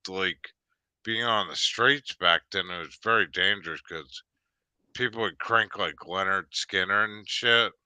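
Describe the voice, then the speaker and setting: A man in his fifties chatting casually, rambling with many restarts and fillers, sometimes bursting out excitedly. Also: deep voice, measured pace, clear, resonant tone, no room sound. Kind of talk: casual chat.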